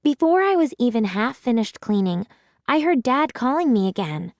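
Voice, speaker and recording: Expressive woman reading a children's story, dry sound. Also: a little high, normal speed, no echo. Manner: animated, emphatic, clearly paced for children. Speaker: read-aloud storyteller. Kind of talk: expressive children's audiobook narration.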